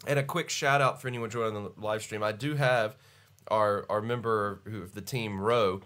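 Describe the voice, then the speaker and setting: A man's voice: lowish voice, steady tone, normal speed, a bit bright. A man hosting a podcast live stream in casual chat, with a stuffy, congested voice, rambling and laughing often. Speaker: podcast host.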